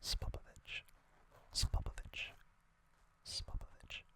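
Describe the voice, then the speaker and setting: An ASMR host whispering trivia, softly repeating single words as triggers and reading from a box cover. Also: slow pace. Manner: reads aloud from a box cover.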